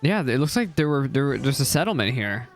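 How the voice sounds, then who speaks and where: A man casually reacting aloud to a game's story, excitable and quick to gasp or laugh. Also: medium pitch. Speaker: video game reaction host. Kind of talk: casual reaction commentary over gameplay. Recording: game music playing underneath. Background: game music.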